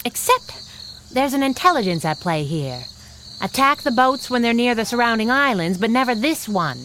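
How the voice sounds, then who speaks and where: A fearless sidekick from a 1930s radio adventure. Her delivery is snappy and wry, swinging widely in pitch as she trades quips. Clear insect-like ambient noise sits behind her voice. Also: lively intonation, normal speed, a bit bright. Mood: wry, reasoning. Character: fearless, wisecracking female sidekick, 1930s pulp heroine.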